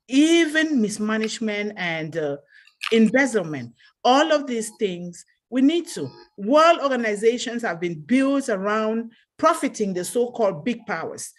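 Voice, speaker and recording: A woman from Cameroon speaks with passion, leaning hard on her points. Her voice sits in a middle range. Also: medium pitch. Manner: passionate, emphatic. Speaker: participant. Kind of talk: open mic speech.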